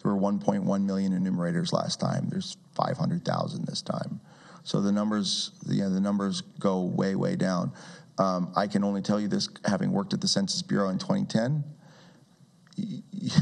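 Gravelly-voiced man with a handheld mic. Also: low-pitched, moderate pace, darkish tone. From Brazil. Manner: conversational, repeats and restarts words, wry asides. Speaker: guest speaker. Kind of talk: public talk with audience questions. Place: community meeting room with seated audience.

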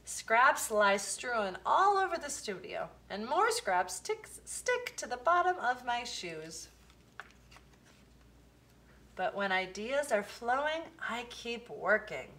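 A woman reading a children's picture book aloud, with expressive, deliberate phrasing. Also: fairly high pitch, slight background noise. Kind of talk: storybook read-aloud for children.

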